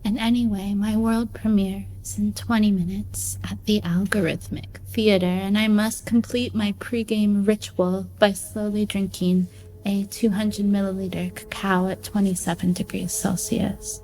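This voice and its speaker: A woman playing an aloof, mystical diva with a drawn-out theatrical delivery in a radio play. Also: medium pitch, level tone, dark tone, low-quality recording. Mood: indignant.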